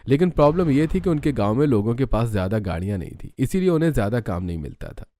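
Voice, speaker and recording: A man's voice: medium pitch, animated, quick pace, mellow. A man narrating a scripted story as its narrator.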